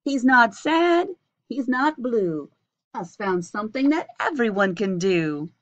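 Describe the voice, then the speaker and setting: A woman voicing a friendly, encouraging young male cat in a slow, sing-song storybook manner. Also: on the high side, sing-song, no room sound. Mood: upbeat. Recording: dull lo-fi webcam recording.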